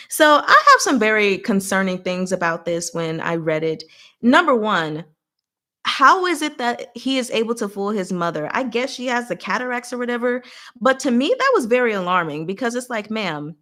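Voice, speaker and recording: A woman's voice: medium pitch, a bit bright. Chatty woman giving a casual recap. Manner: chatty, conversational, with frank asides to listeners. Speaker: host of a book recap livestream. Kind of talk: casual book recap and discussion.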